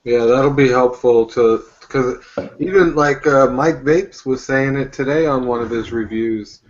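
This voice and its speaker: A man's voice: mid-range voice, unhurried, dull audio. A man co-hosting a casual live show, chatty and rambling, with lots of fillers as he recalls details.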